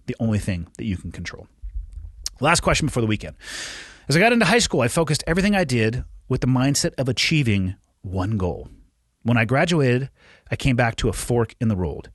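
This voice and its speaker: A man's voice: medium pitch, no echo, clean studio sound. Blunt man in his forties. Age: 40s. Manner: blunt, profane, conversational. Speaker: podcast host. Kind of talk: solo Q&A advice segment.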